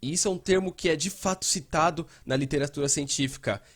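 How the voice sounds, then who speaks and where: A man with a Brazilian accent and a husky voice, narrating a dubbed explainer in a conversational way. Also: fairly high pitch, fast, fairly bright tone. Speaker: host and fan dubber.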